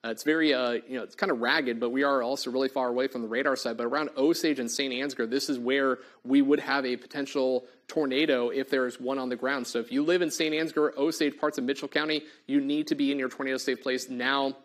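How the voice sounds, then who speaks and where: A man's voice: medium pitch, steady tone, quick pace, hardly any pauses, a bit bright. A man, a TV meteorologist losing his voice, giving urgent live storm warnings full of fillers in a studio on a lapel mic. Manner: urgent, repetitive, filler-heavy live delivery. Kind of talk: live severe weather coverage. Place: TV studio in front of a weather wall.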